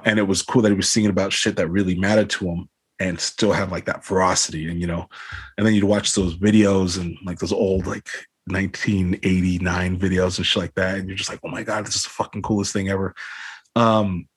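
A man's voice: low voice, steady tone, fairly quick, husky, dry recording. A man, a band frontman, talking casually in an interview over a video call, rambling with lots of fillers and swearing. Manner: rambling, swears a lot, many fillers like "you know what I mean".

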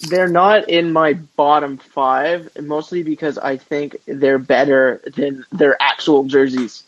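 A man's voice: on the high side, flat delivery, slow. A man co-hosting a casual sports podcast with slangy, rambling, opinionated banter. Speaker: podcast co-host. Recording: dull lo-fi close podcast audio.

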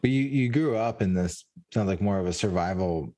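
Male podcast host, deep mellow voice, curious and supportive questioner. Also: lively intonation, moderate pace. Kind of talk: casual interview conversation.

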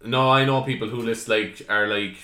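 A man's voice: fairly low pitch, steady tone, moderate pace, sharp tone. A young man hosting a podcast, rambling and swearing in casual chat. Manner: swearing, rambling, laughing often. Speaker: podcast host. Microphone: podcast mic. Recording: echoey room.

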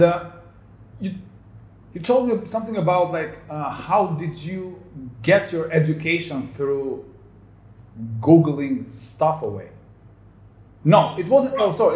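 A man's voice: fairly high pitch, animated, a little slow, pauses often, a little mellow. Casual male podcast host, echoey room. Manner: casual, loose questioning with many "like"s. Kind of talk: podcast interview.

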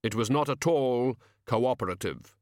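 A man voicing Death, a grave figure who speaks slowly and formally. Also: mid-range voice, expressive, a little mellow, dry recording, studio quality, natural voice. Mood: solemn. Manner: slow, measured, formal. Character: Death, grave solemn skeletal male figure.

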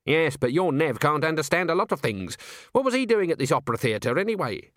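A man's voice: on the high side, sing-song, fairly quick, fairly bright tone, no echo, studio quality, voice pushed up. A man voicing a stern, proud old village witch woman with a blunt, suspicious manner, in a storybook reading.

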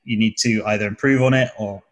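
A man's voice: fairly low pitch, steady tone, fast talker. A young man with a southern English accent, a comedy video creator chatting casually in an interview and explaining things at length with restarts. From southern England.

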